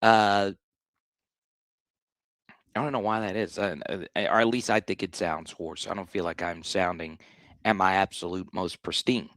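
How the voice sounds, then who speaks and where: A man with a slightly hoarse voice hosting a live sports talk stream, blunt and animated, often restarting his sentences. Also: fairly low pitch, no echo. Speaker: sports show host.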